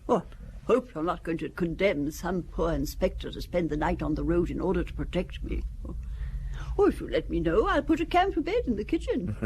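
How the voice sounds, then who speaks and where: An actress playing a wealthy older widow in a radio drama, gracious and hospitable yet a little nervous and fluttery. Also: female voice, on the low side, big pitch swings, moderate pace, a little mellow, slight background noise. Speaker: rich older woman.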